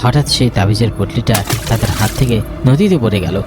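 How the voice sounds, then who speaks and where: A man's voice: on the low side, a little fast, heavy background noise. A man narrating a scripted ghost story in a dramatic storytelling style. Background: background music.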